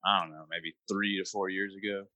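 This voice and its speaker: A man's voice: low-pitched, steady tone, fairly quick, sharp tone, no echo. A young man in casual chat, talking in a laid-back, rambling way with lots of fillers.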